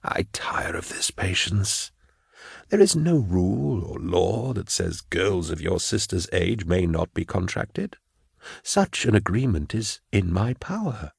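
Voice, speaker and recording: A soft, whispery male prefect of an audiobook tale, acted by a man. He speaks slowly and smoothly with false fatherly kindness and quiet condescension. The voice is low and closely recorded, without room sound. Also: very animated, dry recording, studio quality, full character voice. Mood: weary disdain. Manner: measured, unctuous, condescending. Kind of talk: audiobook character acting.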